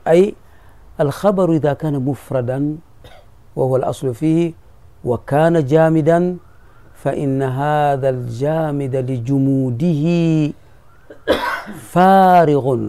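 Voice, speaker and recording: Mellow-voiced man teaching grammar. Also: mid-range voice, dry recording. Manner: patient, repeats key phrases, asks students if they follow. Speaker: sheikh teaching Arabic grammar. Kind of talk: classroom explanation of a grammar poem.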